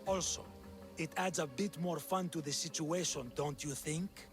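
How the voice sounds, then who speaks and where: A smug slave master in a video game. His voice sits a bit high and drips with condescending menace, with loud music behind. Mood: taunting. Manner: sneering, condescending, unhurried menace. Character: smug, callous male slave master and cult villain. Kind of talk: video game cutscene acting.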